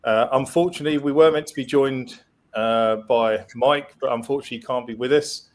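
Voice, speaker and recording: A man's voice: mid-range voice, steady tone, fairly quick. A man hosting a casual podcast, hesitant and friendly, asking his guests questions. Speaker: podcast host.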